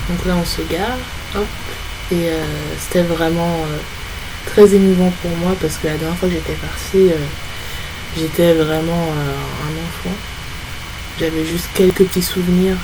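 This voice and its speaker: A young woman from France giving a casual, informal travel vlog voice-over, often pausing as she searches for words. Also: on the low side, fairly even intonation, background noise. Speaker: vlogger. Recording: music behind the voice-over. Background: background music.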